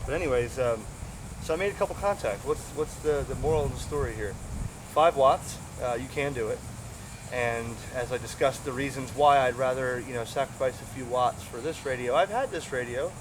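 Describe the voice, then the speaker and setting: Middle-aged American male, average pitch, informal and enthusiastic gear-review vlogger, unscripted delivery, outdoor camera audio with noticeable ambient noise. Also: fairly quick. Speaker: ham radio hobbyist and video host. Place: outdoors on grass beside a pond under shade trees.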